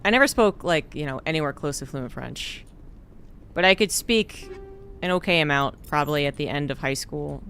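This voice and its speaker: A man's voice: fairly high pitch, moderate pace, soft background music. A man streaming a game, chatting casually and rambling with lots of fillers. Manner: rambling, relaxed, many fillers. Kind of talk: casual gameplay chat.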